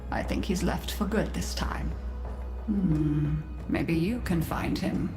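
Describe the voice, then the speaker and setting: A weary, scornful matriarch of a strange infested family in a video game. She speaks slowly and broodingly, with haughty theatrical weight. Her female voice rings in an echoey space, over clear music. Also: on the low side. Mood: scornful, resigned. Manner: slow, brooding, haughty delivery.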